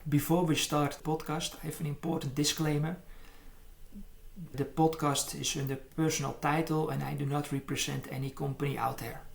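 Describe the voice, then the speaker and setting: Gravelly-voiced man hosting. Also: on the high side, level tone. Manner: halting, repeats words, non-native phrasing. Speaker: podcast host. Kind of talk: podcast host introduction and questions.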